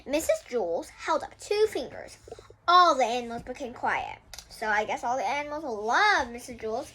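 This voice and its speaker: A woman's voice: high voice, a bit bright, slight background noise, low-quality recording. A young girl hosting a casual read-aloud of a children's book, often breaking off to explain things to viewers in chatty asides.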